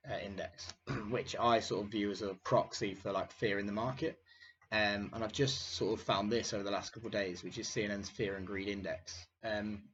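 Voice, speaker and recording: A young man hosting a casual finance video, his voice croaky from illness, talking hesitantly with many fillers. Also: fairly low pitch. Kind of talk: casual market commentary. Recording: dull lo-fi sound, faint noise.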